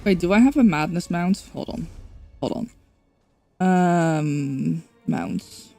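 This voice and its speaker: A woman's voice: lowish voice, lively intonation, slow, gravelly, warm tone, faint music behind. A woman from the Netherlands with a Dutch accent, chatting casually while streaming a game, rambling with lots of fillers. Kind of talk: casual gameplay stream chat.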